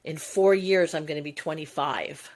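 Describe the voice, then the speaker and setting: Low-voiced woman in her sixties musing. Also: moderate pace. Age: 60s. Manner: reflective, musing, talks in long winding sentences. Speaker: podcast co-host. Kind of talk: casual conversational chat.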